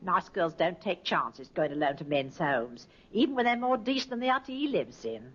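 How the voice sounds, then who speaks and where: An actress playing a fretful, gossipy older village landlady with a husky voice in an old radio drama. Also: on the low side, very animated, normal speed, loud music behind the voice. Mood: fretful, disapproving. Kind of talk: 1950s radio drama acting. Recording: muffled vintage radio recording.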